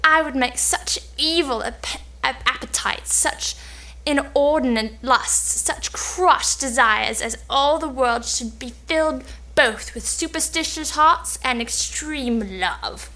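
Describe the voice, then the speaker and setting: A woman playing an old sorceress, boastful, cunning, high bright voice, declaimed stage verse, amateur reading. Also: takes it slowly, exaggerated voice, low-quality recording. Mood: proud, solemn. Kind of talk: cold-read amateur play reading of Elizabethan comedy.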